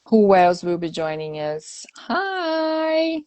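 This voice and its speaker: A woman whose first language is Portuguese, hosting a casual live-stream chat at an unhurried pace, with frequent fillers. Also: medium pitch, very animated, a little mellow. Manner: unhurried, chatty, many fillers. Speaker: host.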